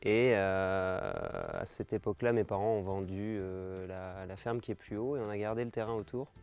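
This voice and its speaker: A man in his thirties from the French Basque Country, speaking off the cuff in an interview with a halting, repetitive way of phrasing. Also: fairly low pitch, steady tone, a little mellow, clean tone, faint music behind. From French Basque Country, France. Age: 30s. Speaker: farm project founder. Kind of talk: documentary interview, spontaneous speech.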